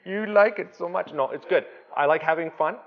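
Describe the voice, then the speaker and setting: A Canadian man hosting a casual vlog, answering viewer questions in a relaxed, chatty way with plenty of ums and uhs. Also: sits high, normal speed, dull audio. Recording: long reverb.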